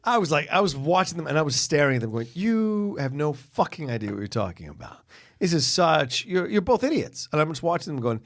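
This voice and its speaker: Male podcast co-host, slightly high voice, very animated intonation, exasperated and sweary, informal conversational delivery. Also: fairly quick. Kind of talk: casual movie chat, loose and profane.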